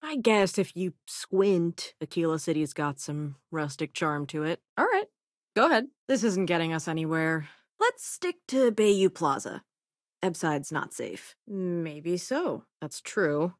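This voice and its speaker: Cocky young space scientist for a video game, wry n casual, really expressive pitch, dry sound. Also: female voice, a little slow, no echo. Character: sassy, self-assured young scientist and smuggler companion in space. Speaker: video game companion character. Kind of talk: acted video game dialogue lines.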